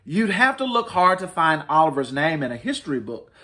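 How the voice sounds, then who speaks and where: A man reads a children's picture book aloud as a story-time host. His voice sits a little high for a man and swings widely in pitch. He stresses key words with dramatic emphasis. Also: sing-song, a little fast, sharp tone. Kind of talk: expressive picture book read-aloud for families.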